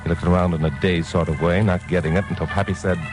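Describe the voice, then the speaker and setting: A hardboiled American crime reporter played by a man speaks in a very low voice, wry and slangy. It is old-time radio detective drama, with music clearly present in the vintage recording. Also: fairly even intonation, fast, natural voice. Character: wry tough male crime reporter narrating his case. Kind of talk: 1940s-50s radio detective acting, hardboiled narration.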